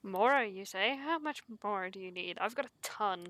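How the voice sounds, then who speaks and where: A woman's voice: mid-range voice, expressive, moderate pace, a bit bright, slight background noise. A woman streaming a game with casual, blunt and playful wisecracking commentary. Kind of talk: casual live gameplay commentary.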